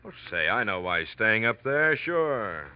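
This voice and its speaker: An actor playing a nosy, affable American ticket clerk in an old radio drama, talking in a folksy, halting, chatty way. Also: male voice, on the low side, big pitch swings, clear, resonant tone. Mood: helpful, talkative. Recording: dull vintage radio recording.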